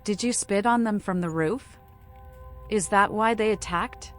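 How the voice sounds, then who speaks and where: Game protagonist, voiced by a woman: unhinged female vampire, whimsical, riddling, mid-pitched, music underneath. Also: a little slow, close to an everyday voice. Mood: quirky, mocking. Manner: eccentric, whimsical, cryptic. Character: mad, riddling female vampire, playful and cryptic. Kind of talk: modded video game voice acting.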